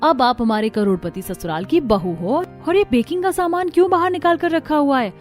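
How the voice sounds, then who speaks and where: A woman's voice: high-pitched, animated, a little fast. A woman voicing a demanding college-age sister-in-law in a cartoon story, casual and pushy in manner. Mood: breezy, pushy. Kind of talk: Hindi cartoon story dialogue. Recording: music behind the voice.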